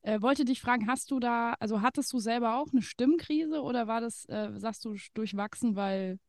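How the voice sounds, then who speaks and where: A woman hosting a podcast and chatting casually with her guest, laughing often and filling pauses with many fillers. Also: a little high, no echo, clean studio sound. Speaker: podcast host. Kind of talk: casual interview chat.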